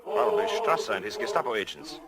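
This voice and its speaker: An actor playing a defiant, dignified resistance leader, formal and declamatory in 1940s radio drama style. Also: male voice, fairly high pitch, sing-song, fast, creaky. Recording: old 1940s radio broadcast, crowd behind.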